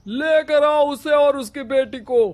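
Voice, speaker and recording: A man's voice: very high-pitched, takes it slowly, darkish tone. A man voicing a stern, domineering village headman in a cartoon story, speaking harshly and commandingly.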